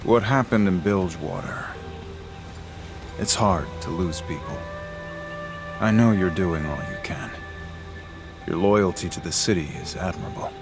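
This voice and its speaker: A low-voiced, brooding swordsman from a video game. He speaks slowly and wearily, with grave, clipped phrases weighed down by guilt. Music plays clearly behind him. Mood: sober. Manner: terse, grave, weary delivery. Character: brooding, guilt-ridden swordsman man on the run. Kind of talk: dramatic video game acting.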